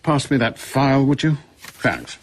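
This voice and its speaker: An actor playing a gruff, brusque older British spymaster in a radio drama, with a husky voice and clipped, commanding delivery. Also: male voice, mid-range voice, very animated, unhurried, exaggerated voice. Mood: brisk, businesslike.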